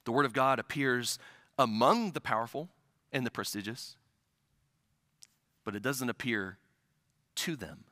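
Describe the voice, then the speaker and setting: A man in his forties, a pastor from the Midwest, preaching a sermon at a church pulpit on a headset mic, swelling loud and dropping to soft asides. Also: mid-range voice, lively intonation, a little slow, pauses often, dry recording, studio quality. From American Midwest. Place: church sanctuary stage at a pulpit.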